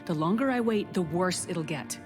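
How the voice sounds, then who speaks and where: An actress playing a tense, secretive doctor in a video game, urgent and half-whispered. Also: female voice, lowish voice, expressive, measured pace. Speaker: game character. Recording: game audio over very loud music.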